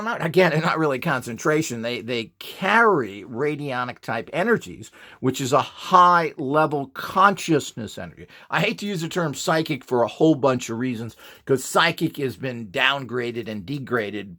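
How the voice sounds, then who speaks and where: A man's voice: fairly high pitch, fairly bright tone. A man giving an informal, rambling instructional demonstration in an emphatic, opinionated and dismissive manner. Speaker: instructor, video series host.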